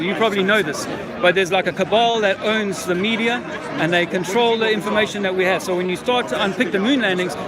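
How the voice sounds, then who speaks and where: A man in his fifties, an army veteran filming himself outdoors, talking in a profane, rambling, insistent way. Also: high-pitched. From South Africa. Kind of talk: street-corner conspiracy monologue. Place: outdoor public speaking park. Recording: outdoor crowd noise behind.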